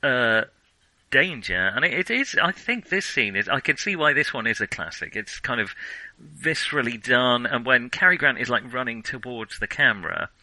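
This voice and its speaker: Bright, crisp-edged British man talking film. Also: mid-range voice, expressive, normal speed, no echo, low-quality recording. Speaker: film podcast host. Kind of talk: casual film discussion.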